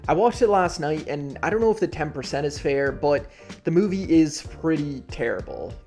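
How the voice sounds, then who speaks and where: A man hosts a video essay with candid, casual commentary. His voice sits slightly high and has heavy vocal fry, and he talks haltingly and informally over faint music. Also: lively intonation, a little mellow.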